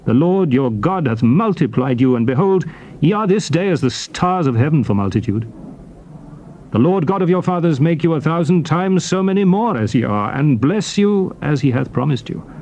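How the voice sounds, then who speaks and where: Through faint tape hiss comes a solemn prophet, pitched a little high for a male leader. His dramatized scripture oratory is formal and declamatory, with wide, sweeping intonation as he addresses his people. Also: expressive, normal speed, a little mellow. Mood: solemn, exhorting. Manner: declamatory, formal, emphatic phrasing. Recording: noisy old cassette tape transfer.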